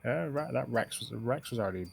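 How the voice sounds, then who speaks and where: A man's voice: medium pitch, lively intonation, a little fast, vocal fry, a crowd in the background. A man chatting casually as a guest co-commentator on a game stream. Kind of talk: casual chat over gameplay. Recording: noisy stream voice chat.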